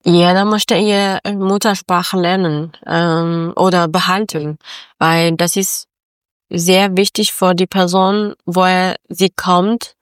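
A woman's voice: lowish voice, level tone, takes it slowly, clear, resonant tone, dry recording, studio quality. A woman from Afghanistan, a Dari speaker, speaking hesitant German full of fillers as an interview guest. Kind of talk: radio interview.

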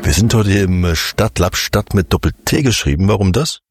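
Deep, lively radio host man. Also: normal speed, breathy edge, dry recording, studio quality, soft background music. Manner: lively, inviting narration. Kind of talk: scripted radio narration.

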